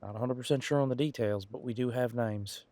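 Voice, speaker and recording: A man's voice: mid-range voice, fairly quick, darkish tone, natural voice. A man playing a casual, wry police detective in an audio roleplay, musing in a conversational, hesitant way. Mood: explaining, thoughtful.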